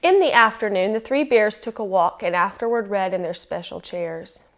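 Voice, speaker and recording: A woman reading a children's storybook aloud as storyteller, expressive and emphatic, lingering on phrases. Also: mid-range voice, normal speed.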